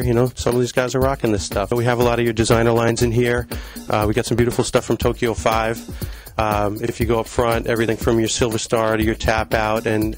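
Man, thirties, store owner tour, casual and rambling, handheld mic indoors, clear music behind. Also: on the low side. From Long Island, New York. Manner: conversational, many fillers and restarts. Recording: background music in a shop. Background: music playing in the store.

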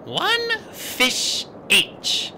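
A man reading an audiobook voices a haughty, aloof goddess of magic who speaks slowly and imperiously. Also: extremely high pitch, huge pitch swings, background noise, voice pushed up, heavily acted. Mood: commanding.

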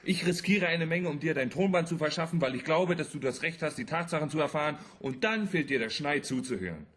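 A man's voice: a little high, lively intonation, a little fast, a bit bright. An actor in a radio drama playing a brash, impatient young man with a blunt, sneering manner. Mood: scornful. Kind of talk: German radio drama acting.

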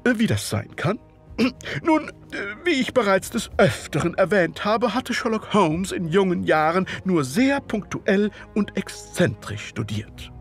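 Victorian gentleman narrator, highish voice, very lively melody, formal and wordy, audio drama w a bit of music. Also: exaggerated voice. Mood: genteel, musing. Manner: formal, measured, hesitating politely.

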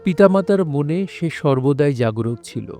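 A man narrating a literary story in a formal, measured, solemn style. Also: on the high side, lively intonation, over music. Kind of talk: scripted literary narration in old formal Bengali.

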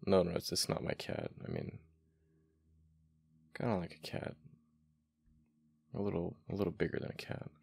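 A man voicing a flustered, affectionate young gamer boyfriend in a boyfriend ASMR roleplay, with a deep, gravelly, halting murmur. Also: wildly swooping pitch, a little mellow, no echo, heavily acted. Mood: flustered, sheepish.

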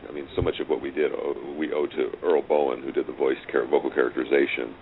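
A man's voice: rumbling low, flat delivery, quick pace. A middle-aged man reminiscing casually as an interview guest, often chuckling and repeating phrases for emphasis. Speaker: interview guest, audio drama writer and director. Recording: tinny phone-like line, clear noise.

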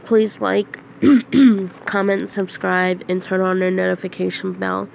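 Slow, nasal, hoarse sick woman. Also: medium pitch, little pitch movement, darkish tone, slight background noise. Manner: slow, halting, with frequent throat clearing and coughs. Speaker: channel host. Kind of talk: casual announcement to viewers. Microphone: phone screen recorder.

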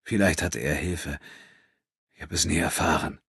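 A haunted, bitter father in an audiobook, acted out by a male reader. His voice is extremely deep and husky, halting and trembling under guilt and grief. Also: fairly even intonation, a bit bright, dry recording, clean studio sound, heavily acted. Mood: bitter, self-hating.

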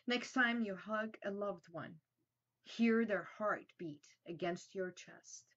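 A woman in her fifties, originally from Iran with Armenian as her first language, hosting a reflective talk to camera from a small room at home and letting her phrases trail off softly. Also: medium pitch, lo-fi sound. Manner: soft and slow, trails off at phrase ends. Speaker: host.

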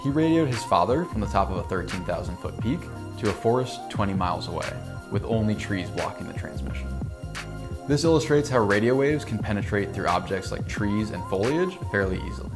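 Young guy doing a scripted how-it-works talk, steady and clear, music under it. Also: lowish voice, a little fast. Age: young adult. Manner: clear, even, informative delivery. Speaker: company presenter. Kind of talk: scripted product explainer.

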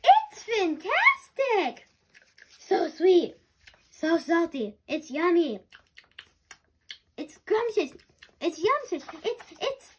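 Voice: very high voice, huge pitch swings, very slow, long pauses, voice pushed up, full character voice. A child voicing a cheerful, silly waffle-loving narwhal in a storybook, playful and exclaiming. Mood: ecstatic.

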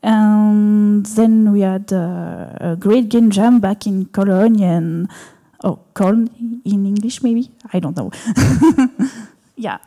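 A woman's voice: medium pitch, dark tone. A woman on a live panel, speaking hesitantly with restarts and fillers. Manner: hesitant, halting, with restarts and laughs. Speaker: panelist, animation director and game artist. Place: small venue stage before an audience.

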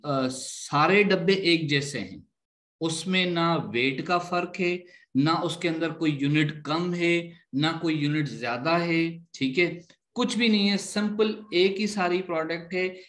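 A man's voice: on the high side, a bit bright. A man giving a live online lesson as instructor, explaining step by step, repeating points and often checking that students follow. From Pakistan.

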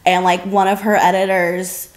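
A woman's voice: mid-range voice, little pitch movement, moderate pace, poor audio. A young adult woman co-hosting a podcast in casual chat, with informal, loosely phrased conversational delivery. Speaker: podcast co-host. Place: living room, on a sofa.

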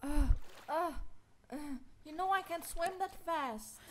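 A woman's voice: very high-pitched, slow pace, a bit bright, slight background noise, heavily acted. A woman voicing a timid, nervous young boy fish in a cartoon fan dub, stammering and easily panicked. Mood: pleading. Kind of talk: animated film fan dub.

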